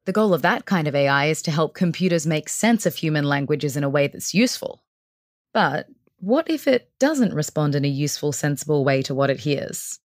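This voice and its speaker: A woman's voice: low-pitched, no echo, studio quality. A woman presenting theatrically as a game show host.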